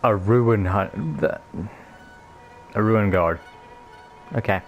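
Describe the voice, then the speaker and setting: A man's voice: on the low side, slow, faint music behind. A man reacting casually as he plays a video game, like a streamer talking along. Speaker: gaming streamer.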